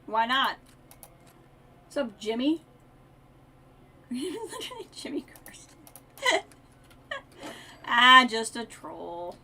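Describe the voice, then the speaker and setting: A woman's voice: on the high side, very animated, very slow, long pauses, sharp tone. A woman doing casual live-stream game commentary, chatting offhand and muttering asides to herself. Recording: keyboard clatter, faint noise.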